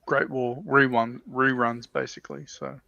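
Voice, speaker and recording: A man's voice: mid-range voice, level tone, normal speed, gravelly, pushed and bright. A man chatting casually as a panellist on a hobby livestream, recorded with dull, lo-fi sound.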